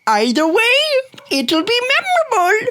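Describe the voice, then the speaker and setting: A woman's voice: very high-pitched, very animated, moderate pace, background noise. A woman voicing a reckless, cheerfully stubborn old grandma inventor in a radio play, breezy and theatrical. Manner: breezy, theatrical, dismissive of worry.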